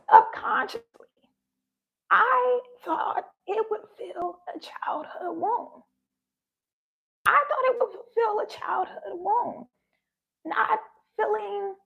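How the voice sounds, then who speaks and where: A woman's voice: very high voice, gravelly, dull audio. Woman with a shaky, near-tearful voice. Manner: shaky voice that sounds close to tears. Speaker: spiritual vlogger. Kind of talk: heartfelt spiritual talk.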